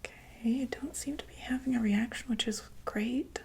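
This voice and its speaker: A woman whispering an ASMR medical roleplay as a doctor, gently reassuring and checking in with the listener. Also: a little high, fairly even intonation, normal speed, gravelly.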